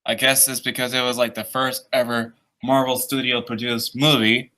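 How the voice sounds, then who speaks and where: Young dude hosting a stream, chill and jokey, average voice. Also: male voice, mid-range voice, flat delivery, normal speed, pushed and bright. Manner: joking, laid-back, laughs easily. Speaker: stream host. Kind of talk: casual chat over a call.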